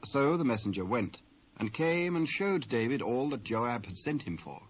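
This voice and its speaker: A British man with a husky voice giving scripted narration of scripture on an old cassette recording. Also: medium pitch, expressive, normal speed, a little hiss or hum. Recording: dull old cassette tape.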